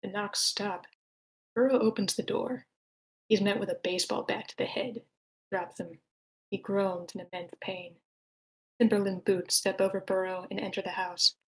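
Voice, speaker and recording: A woman's voice: mid-range voice, fairly even intonation, normal speed, low-quality recording. Female narrator, middle register, scripted screenplay narration for a table read. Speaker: narrator reading stage directions.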